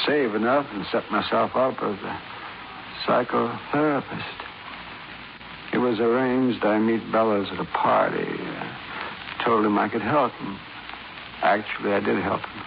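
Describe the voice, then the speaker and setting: An American actor playing a dying con-man hypnotist in a 1950s radio crime drama, confessing haltingly in a weak, gravelly, weary voice. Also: lowish voice, animated. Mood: weary, confessional. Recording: muffled, noisy 1950s radio recording.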